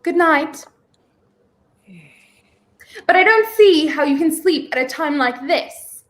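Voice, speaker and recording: A woman's voice: high voice, animated, slow, fairly bright tone, exaggerated voice. A young woman playing a feisty, prim heroine in a 1930s radio play, speaking emphatically. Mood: tart. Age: young adult. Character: feisty, indignant young woman, handcuffed unwilling companion. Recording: roomy, echoing home recording.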